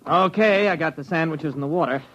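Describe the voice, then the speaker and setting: An actor playing a breezy, confident surveyor in a radio drama, speaking in a brisk, informal way. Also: male voice, a little high, fairly bright tone. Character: breezy, confident male surveyor, the narrator's business partner. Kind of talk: scripted radio drama acting. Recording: muffled old radio drama recording.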